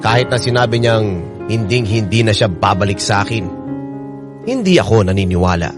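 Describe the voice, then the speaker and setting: A man's voice: fairly low pitch, animated, moderate pace, lightly acted. An actor playing a devoted, heartbroken young man narrating his love story in an earnest, emotive radio drama style. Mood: wistful, resolute. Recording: loud music under the narration.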